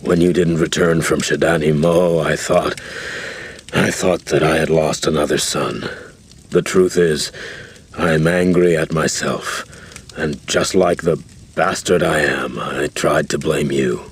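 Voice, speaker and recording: Very deep, gruff soldier father. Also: fairly even intonation, exaggerated voice. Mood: remorseful. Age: middle-aged. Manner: halting, heavy, self-reproaching. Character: gruff, remorseful middle-aged soldier father. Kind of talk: video game cutscene acting. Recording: noisy game audio with ambience.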